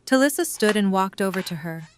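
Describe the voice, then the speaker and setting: A female narrator reads scripted story prose for an audio drama. Her voice sits at a middle pitch, with clearly audible noise behind it. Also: expressive, brisk.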